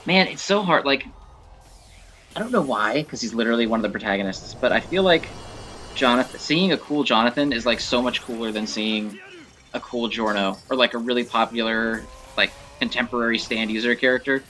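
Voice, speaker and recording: A man giving casual, rambling commentary on gameplay in an informal, animated way. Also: medium pitch, a little fast, faint music behind. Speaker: gameplay showcase host.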